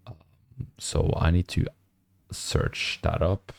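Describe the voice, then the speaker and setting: Young guy on a coding stream, voice mostly gone, deep and gravelly, talks slow and halting. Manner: mumbling, halting with many fillers; speaks quietly to avoid disturbing neighbors. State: hoarse, nearly lost voice. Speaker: programming streamer. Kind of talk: casual coding stream commentary. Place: small apartment room at night.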